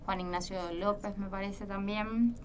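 A woman from Salta speaks with an Argentine accent as a guest in a radio studio, pausing often and restarting her sentences. Her pitch sits in a middle range. She is picked up on a distant mic with faint noise behind her. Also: steady tone. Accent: Argentine Spanish. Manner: hesitant, many fillers and restarts. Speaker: guest guitarist. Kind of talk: casual radio interview.

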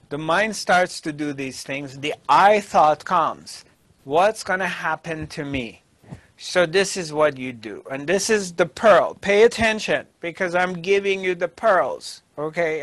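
A middle-aged man giving a spiritual discourse as a teacher, emphatic and deliberate, with pauses. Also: fairly high pitch, a bit bright, no room sound. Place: small dark indoor studio. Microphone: lapel mic.

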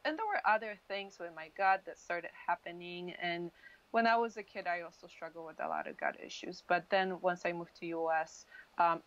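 The sound is a dull, lo-fi video call. A woman from Poland, a native Polish speaker, talks in a fairly low voice. She tells her own story casually, in a halting, rambling way. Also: a bit bright. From Poland, moved to US at thirteen. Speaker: interview guest. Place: dim indoor room, video call. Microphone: wired earphone mic.

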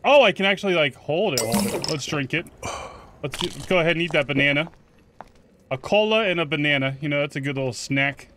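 A man giving casual first-look game commentary, excitable and sweary, reacting aloud and chatting to his viewers. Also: on the high side, a little hiss or hum. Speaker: gaming video host.

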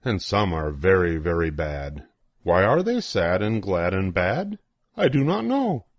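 An older man reading a children's picture book aloud in an expressive, sing-song way with deliberate pauses. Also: low voice, no room sound, clean studio sound. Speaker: storybook reader.

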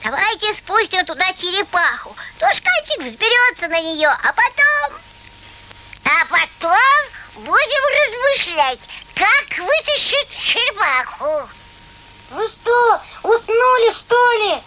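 Small excitable cartoon critter, childlike, piping very high, exclamatory, lively melody. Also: female voice, takes it slowly, exaggerated voice, poor audio. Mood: flustered, eager. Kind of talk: animated film character acting.

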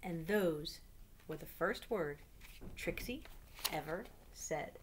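A woman's voice: deep voice, lively intonation, slow, background noise. A middle-aged woman reads a children's picture book aloud as a storyteller at home. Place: small room at home.

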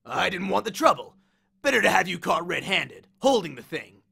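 A man performs a swaggering god of war for an audiobook, a menacing biker bully. He is cocky and slangy, taunting in a bright, forceful voice with plenty of gravel and big swings of pitch. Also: very animated, moderate pace, heavily acted. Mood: smug. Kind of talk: audiobook character voice.

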